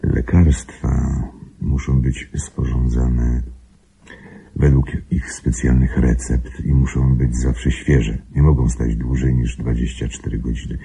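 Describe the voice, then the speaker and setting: An actor playing a grave, secretive wealthy husband in a radio drama, speaking formally with measured control in a gravelly, husky voice. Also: male voice, extremely low pitch, flat delivery, mellow, full character voice. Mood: formal, guarded. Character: grave, secretive wealthy husband guarding his invalid wife.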